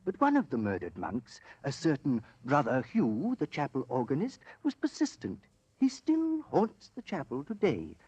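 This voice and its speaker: An actor playing an eager, courtly investigator of the occult, speaking in a theatrical, emphatic and genteel manner in a 1940s-style radio drama. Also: male voice, mid-range voice, huge pitch swings, normal speed, a little mellow, husky, dry recording. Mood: eager storytelling. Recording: dull, dry old radio recording.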